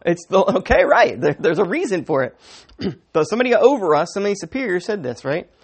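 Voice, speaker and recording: A man preaching a sermon as pastor, with a sniffly, slightly raspy voice, talking conversationally, chuckling and often asking the congregation "right?". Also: high voice, sing-song, brisk.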